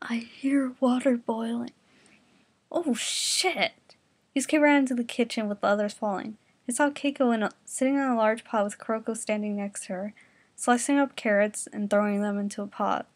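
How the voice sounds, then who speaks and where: A woman's voice: mid-range voice, steady tone, fast, dry recording. A woman narrating a comic fan fiction parody, reading it aloud as storyteller.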